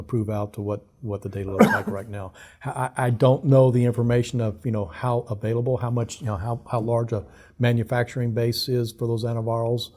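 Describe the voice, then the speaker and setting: A man over fifty, a guest expert, answering in a measured, halting way with many restarts. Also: medium pitch, warm tone. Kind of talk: studio discussion.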